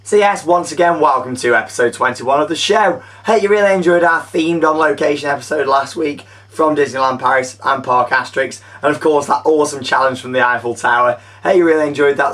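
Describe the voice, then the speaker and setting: A young man hosting a chatty, upbeat theme park news show, his voice gone a little croaky, talking enthusiastically with frequent fillers. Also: mid-range voice, fairly bright tone, far from the mic. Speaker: host.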